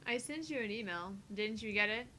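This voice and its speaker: A woman's voice: on the high side, expressive, a little fast, pushed and bright, slight background noise. A woman voicing a tired, sarcastic young scientist in a visual novel read-through, dry and dismissive.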